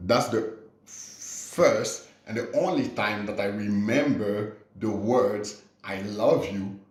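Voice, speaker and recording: A man speaks in a slightly low voice in a roomy, echoey space. He chats on a podcast in an earnest, reflective way, with a casual "you know" dropped in often. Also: takes it slowly.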